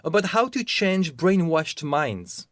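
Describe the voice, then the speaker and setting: A man's voice: on the high side, sing-song, slow pace, a bit bright, dry recording, studio quality. A man narrating a news report as a reporter, reading from a script.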